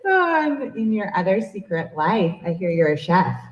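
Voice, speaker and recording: A woman's voice: on the low side, sing-song, measured pace, highs cut off. A woman emcee hosting a live event with informal banter. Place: library event room with audience. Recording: echoey room with audience.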